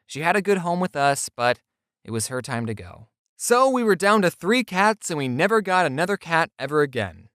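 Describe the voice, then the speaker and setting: A narrator telling scripted comedic personal stories in a playful, self-deprecating way, with deadpan asides. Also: medium pitch, moderate pace, clear, resonant tone, no room sound, studio quality. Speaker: storyteller narrator.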